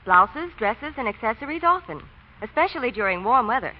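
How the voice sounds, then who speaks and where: A woman's voice: a little high, big pitch swings, fairly quick. An actress playing a chatty, cheerful young woman with an American accent in 1940s radio advertising dialogue. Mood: chirpy. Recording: dull vintage radio recording.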